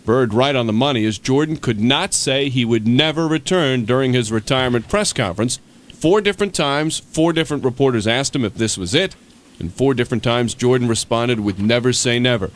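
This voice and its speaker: An American man delivers a radio sports report in a middle-range voice. His manner is deadpan and wry, stating the news and commenting on it dryly. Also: mid-range voice, a little fast.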